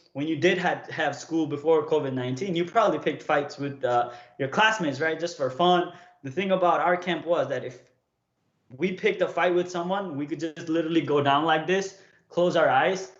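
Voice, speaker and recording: A young man from Nepal chats with his livestream audience in a relaxed, storytelling way. He keeps checking in with them as he talks. His voice sits a little high, and the sound is roomy and slightly dull. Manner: chatty, keeps checking in with "okay?" and "right?". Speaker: streamer coaching viewers.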